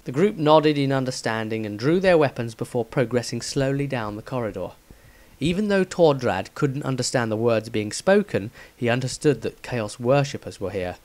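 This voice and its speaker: A man's voice: medium pitch, expressive, normal speed. A man reading a scripted fantasy adventure story as a dramatic narrator.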